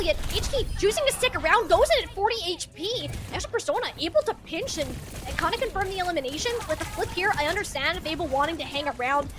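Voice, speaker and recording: A woman doing live play-by-play commentary as an esports caster, with music playing behind her. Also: extremely high pitch.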